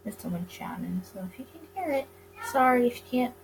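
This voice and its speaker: A woman with a fairly high voice reads a visual novel aloud as a gamer would. She trips over words now and then and rereads them. There is noise behind her voice. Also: animated, fairly quick. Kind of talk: let's play narration, reading story text aloud. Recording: clear background noise.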